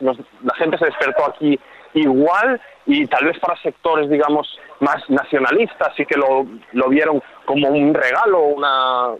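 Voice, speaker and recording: A man from Catalonia with a Spain Spanish accent, a freelance journalist calmly explaining events as a radio interview guest, pausing often to search for words. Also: mid-range voice, narrow, tinny audio. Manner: measured, explanatory, frequent hesitations.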